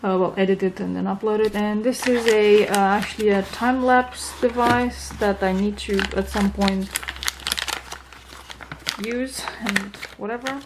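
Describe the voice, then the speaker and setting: A young woman with a Romanian accent, a native Romanian speaker, chatting casually in a home vlog and often pausing to search for words. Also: medium pitch, steady tone, noisy background. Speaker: vlogger.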